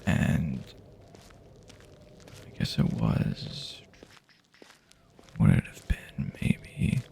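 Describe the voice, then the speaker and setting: A man doing improvised ASMR roleplay as an easygoing survivor guiding a friend, speaking to the listener in halting, casual phrases. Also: a bass voice, expressive, a little hiss or hum. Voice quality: husky, gravelly, soft-edged voice. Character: easygoing survivor guiding a friend through post-apocalyptic wasteland.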